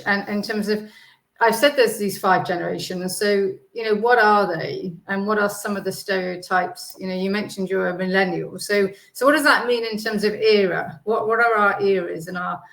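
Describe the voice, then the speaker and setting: A baby-boomer woman in her sixties co-hosting a live online work talk over a video call, measured and thoughtful with frequent ums. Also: vocal fry, fairly bright tone, reverberant, poor audio. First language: English. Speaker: co-CEO and host. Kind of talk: webinar conversation.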